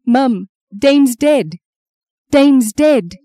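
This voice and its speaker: Woman acting a high, anguished, tearful daughter. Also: fairly even intonation, normal speed, dark tone, dry recording, clean studio sound, lightly acted. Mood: distraught. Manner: pleading, emotional outbursts. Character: distraught grown daughter, grief-stricken and self-blaming. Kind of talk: dramatic audiobook character voice.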